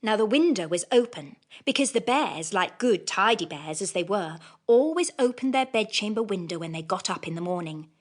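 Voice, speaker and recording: A woman narrating a children's audiobook as scripted storytelling, in an expressive manner. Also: mid-range voice, moderate pace, few pauses.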